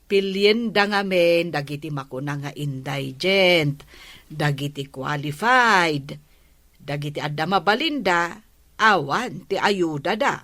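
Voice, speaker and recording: A woman's voice: deep voice, expressive, takes it slowly, a bit bright, dry recording, studio quality, heavily acted. A woman with an Ilocano accent playing a patient, earnest village captain's wife in a radio comedy drama. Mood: patient, explaining.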